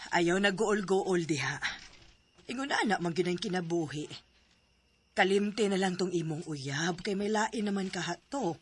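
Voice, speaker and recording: A woman acting a practical middle-aged mother in a radio drama, husky and matter-of-fact. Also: lowish voice, unhurried, slight background noise, natural voice. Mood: consoling, practical. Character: practical middle-aged mother counseling her grown son.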